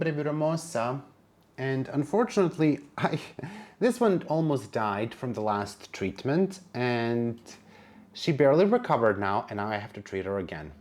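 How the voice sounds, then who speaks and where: Man, Serbian native, mid-pitched, chatty vlogger with a wry, sassy streak. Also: darkish tone. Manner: chatty and wry, sassy asides, calls plants "her" and "girl". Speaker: plant vlogger. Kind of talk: casual vlog narration while handling plants.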